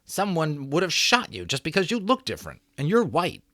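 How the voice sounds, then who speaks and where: A man's voice: on the high side, wildly swooping pitch, brisk, pushed and bright, no echo, clean studio sound, pitched above the performer's own voice, exaggerated voice. A man voicing an uneasy, sensible young boy who reasons things through. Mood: reasoning.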